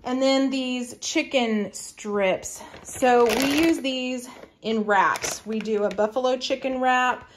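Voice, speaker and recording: Chatty mom showing a grocery haul. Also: female voice, sits high, slow, a little hiss or hum. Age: middle-aged. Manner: chatty, homey, explains family meal plans. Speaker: family lifestyle vlogger. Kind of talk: casual grocery haul show-and-tell.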